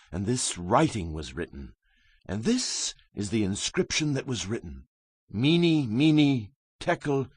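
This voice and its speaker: An actor playing a solemn, fearless prophet who rebukes with grave, emphatic declamation in a scripture drama. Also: male voice, mid-range voice, slightly rough, no echo, exaggerated voice. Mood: stern, accusing, then grave pronouncement.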